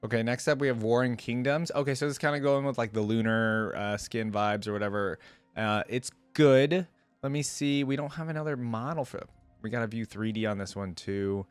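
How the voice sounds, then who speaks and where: A man's voice: medium pitch, brisk, clear, resonant tone, no echo, faint music behind. A man giving informal, rambling and opinionated commentary in a casual reaction video. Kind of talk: casual reaction commentary, partly reading aloud.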